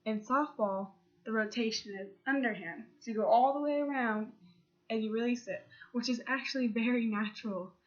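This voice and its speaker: A woman's voice: fairly high pitch, normal speed. Young woman with a cold, slightly muffled. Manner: earnest, with restarts and fillers. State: congested from a cold. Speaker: student giving a speech. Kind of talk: persuasive class speech. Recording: slightly dull lo-fi sound.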